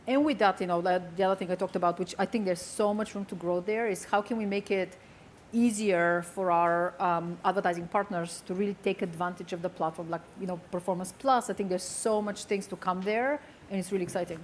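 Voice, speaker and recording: A woman's voice: mid-range voice, steady tone, a little fast, a little hiss or hum. A woman explaining her work as a panelist at a marketing conference, in a conversational way.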